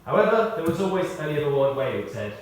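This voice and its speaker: A man reading a prepared tribute aloud in short, measured phrases, with wry storytelling. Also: fairly high pitch, big pitch swings. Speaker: tribute speaker. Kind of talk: read-out memorial tribute with anecdotes. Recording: echoey hall, distant, faint noise.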